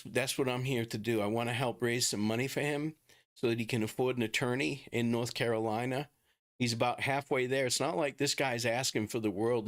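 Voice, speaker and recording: A middle-aged man with a Boston accent talks casually and haltingly to viewers as a livestream host. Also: mid-range voice. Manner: informal, chatty, halting.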